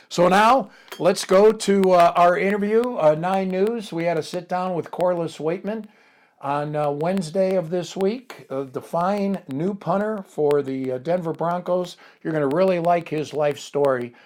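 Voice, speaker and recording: A man's voice: on the high side, a little mellow. A middle-aged man hosting a sports show, talking casually and interviewing a guest, with many fillers and restarts.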